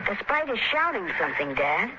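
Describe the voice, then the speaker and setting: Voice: mid-range voice, very animated, a little fast, natural voice. A performer playing a fiery, earnest young son in a 1950s radio drama. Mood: anxious. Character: frightened, fiery young boy, loyal son. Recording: noisy, muffled old radio recording.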